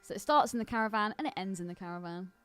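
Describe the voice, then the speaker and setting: A woman's voice: medium pitch, racing, a bit bright, clear, resonant tone, soft background music. A woman co-hosting a podcast with teasing, sweary, casual banter. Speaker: podcast co-host.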